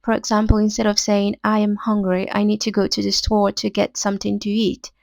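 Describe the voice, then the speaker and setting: A woman's voice: mid-range voice, monotone, gravelly, no echo. Nigerian woman reading a formal essay. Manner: formal, academic wording, measured reading. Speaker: podcast host. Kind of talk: reading a prepared literary essay.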